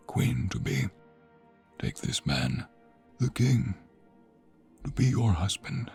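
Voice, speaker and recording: A formal fantasy archbishop acted by a man with a gravelly, husky voice. He intones ceremony in slow, measured phrases, as in an audio drama, over faint music. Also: mid-range voice, heavily acted. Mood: ceremonial. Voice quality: husky, creaky. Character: solemn old-fashioned archbishop officiating a royal wedding. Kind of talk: fantasy audio roleplay acting.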